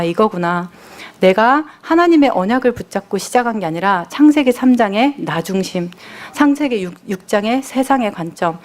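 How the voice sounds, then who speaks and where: A woman preaching at a church service, moving between personal testimony and earnest, emphatic exhortation, stressing her points in repeated lists. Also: mid-range voice.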